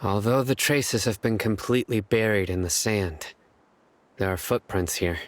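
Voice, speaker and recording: An actor playing a stern, stoic young lawman in a video game, speaking in a terse, measured, deadpan way. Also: on the low side, soft background music, close to an everyday voice. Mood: analytical, calm.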